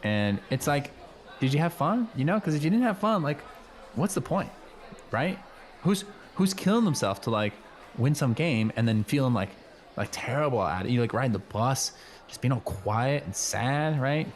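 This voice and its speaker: A man's voice: mid-range voice, sing-song, normal speed, a little hiss or hum, lightly acted. A man playing a laid-back, chummy teenage schoolboy in an improvised radio play, rambling in casual slang. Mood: easygoing, philosophical.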